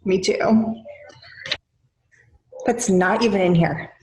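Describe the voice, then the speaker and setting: A woman's voice: medium pitch, slow, warm tone. Woman, dreamy giggly rambler, echoey room, faint background noise. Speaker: dating contestant. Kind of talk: comic dating video pitch.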